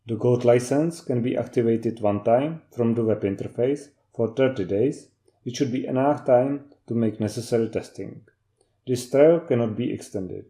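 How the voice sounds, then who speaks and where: Man with a very dark, mellow voice. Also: mid-range voice. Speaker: technical trainer. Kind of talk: scripted instructional narration.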